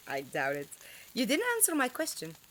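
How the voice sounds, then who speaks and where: A woman's voice: medium pitch, wildly swooping pitch, normal speed, a bit bright, a little hiss or hum, poor audio. A woman playing a bold, inquisitive young traveller in an audio drama, with a direct, dry, questioning manner. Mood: probing.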